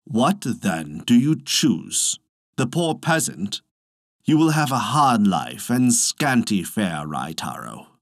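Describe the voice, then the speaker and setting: A storyteller voicing a solemn, fatherly thunder god with grave, slow, old-fashioned storybook phrasing. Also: medium pitch, big pitch swings, gravelly, no echo, clean studio sound, full character voice. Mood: grave, commanding. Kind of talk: storybook reading.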